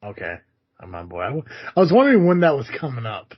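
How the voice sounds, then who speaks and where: A man's voice: mid-range voice, huge pitch swings, quick pace, breathy edge. A man co-hosting a casual podcast, sarcastic and swearing freely. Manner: sarcastic, profane. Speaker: podcast co-host. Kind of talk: casual chat. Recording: dull lo-fi podcast audio.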